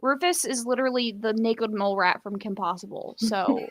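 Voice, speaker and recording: A woman's voice: fairly high pitch, moderate pace, no echo. A woman co-hosting a casual book discussion on a live stream, giving blunt, informal opinions. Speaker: co-host of a book readalong live show.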